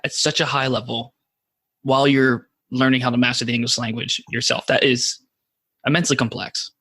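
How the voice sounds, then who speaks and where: A man hosting a podcast, interviewing his guest with quick, encouraging affirmations. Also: mid-range voice, level tone, sharp tone, slightly rough, dry recording. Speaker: podcast host.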